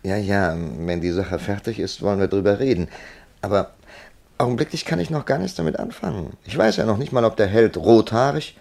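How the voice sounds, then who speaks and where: An actor playing a reflective writer who narrates his own story in a measured, literary radio play style. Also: fairly low pitch, lively intonation, gravelly, darkish tone. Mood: evasive, irritable.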